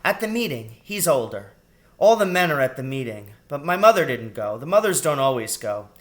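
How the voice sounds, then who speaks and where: A man's voice: mid-range voice, normal speed, voice pushed up, natural voice. A man voicing a small young girl rat in a storybook, childish, wary and chatty. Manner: childish, excitable, suspicious questioning. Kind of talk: storybook character voice in a read-aloud.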